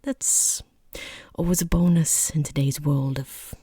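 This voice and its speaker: A woman playing a rude, condescending female boss interviewing a job applicant in soft-spoken ASMR, half-whispered, with dry, sarcastic politeness. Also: low-pitched, fairly even intonation, measured pace, husky, no echo. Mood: dryly patronizing.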